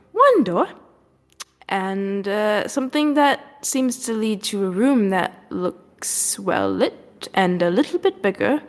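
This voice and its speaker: A woman voices an awkward, cautious man in a fantasy tabletop game. He speaks slowly and haltingly, with lively rises and falls in pitch. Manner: hesitant, stammering. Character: awkward, cautious, easily flustered male adventurer. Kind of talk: tabletop roleplay. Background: music.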